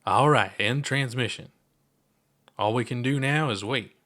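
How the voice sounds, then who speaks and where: A man's voice: medium pitch, a little slow, a bit bright, lightly acted. A man voices a laid-back alien musician in a sci-fi audio story. The figure is playful and easygoing, talking like a casual announcer. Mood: calm.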